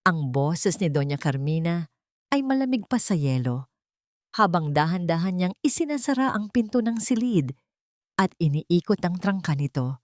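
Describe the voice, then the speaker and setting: Female narrator for audio drama: lowish voice, suspenseful and solemn, very dry sound. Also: very animated, normal speed, no room sound. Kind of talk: scripted dramatic story narration.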